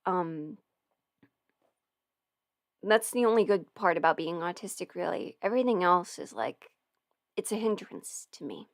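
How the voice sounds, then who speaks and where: A woman's voice: on the low side, expressive, a little slow, long pauses, no room sound. A young woman in her twenties hosting a casual livestream Q&A from home, talking close to the microphone with lots of fillers and giggles. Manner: rambling, giggly, many fillers. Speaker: livestream host answering questions. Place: home, eating ramen.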